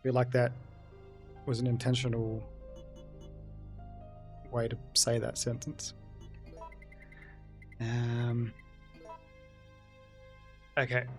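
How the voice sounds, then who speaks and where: A man streaming a video game, chatting casually with viewers and reading their messages aloud. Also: medium pitch. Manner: chatty, reads and answers chat aloud. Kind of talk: casual let's-play commentary.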